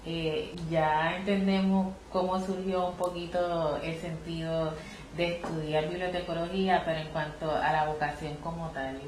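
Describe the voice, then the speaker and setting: A woman student interviewer asking formal, somewhat halting questions. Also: lowish voice, little pitch movement. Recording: echoey room with background noise.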